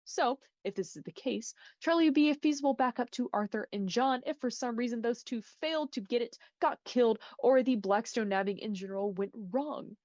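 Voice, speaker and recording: A woman's voice: a little high, fairly quick, hardly any pauses, darkish tone, no echo. A woman recording a voice message, delivering a fan theory in a playful, dramatic, theatrical way. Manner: playful, dramatic, addresses listeners with pet names. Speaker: listener sending a voice message. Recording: close, dry, muffled lo-fi recording.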